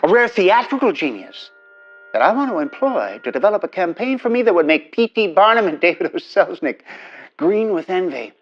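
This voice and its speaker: Pushy rich 50s politician on the phone, grandiose salesman, highish voice, muffled line. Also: sing-song, moderate pace, exaggerated voice. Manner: grandiose, persuasive salesman patter. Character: smooth-talking, domineering wealthy 1950s male presidential candidate. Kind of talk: audio drama acting, telephone dialogue. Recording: dull telephone-style sound, faint music.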